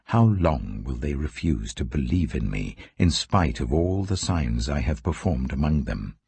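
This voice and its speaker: British guy doing god in a bible audiobook, super deep, stern and commanding. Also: big pitch swings, creaky, mellow, slightly rough, no room sound, full character voice. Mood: wrathful. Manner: slow, weighty, commanding. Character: stern, wrathful male God of ancient scripture.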